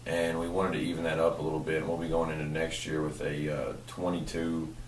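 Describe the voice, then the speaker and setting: A man with a very deep, flat voice talks in a hesitant way, stopping often with fillers. He is set back from the mic in a roomy space, with faint noise around him. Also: fairly quick. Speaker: housing staff member. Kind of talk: interview answers. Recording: roomy, distant, light noise.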